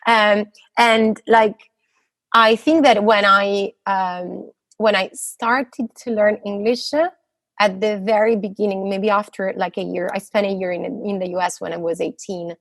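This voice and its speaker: A woman's voice: mid-range voice, fairly even intonation, a little slow, fairly bright tone, dry recording. Italian woman in her 30s, talks casually with lots of uhs and likes, dry close sound. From Italy. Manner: hesitant, many fillers and restarts. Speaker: guest, postdoctoral physicist. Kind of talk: casual interview answer.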